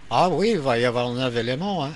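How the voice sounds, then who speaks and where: A man's voice: medium pitch, lively intonation, a little fast. A man talking casually as an amateur radio operator, explaining and giving advice in colloquial language, his phrases swelling and trailing off. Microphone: radio operator's room microphone, streamed online. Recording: faint background noise.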